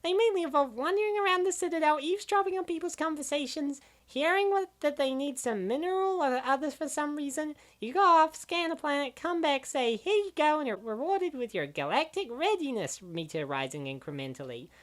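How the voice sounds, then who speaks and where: An opinionated gamer girl, acted by a woman, with a high voice that swings around in pitch. She complains wryly and at length, as in a comic dub. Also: expressive, brisk, hardly any pauses. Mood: exasperated griping. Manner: wry, wordy complaining. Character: opinionated gamer woman grumbling about video games. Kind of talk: scripted comic dub monologue.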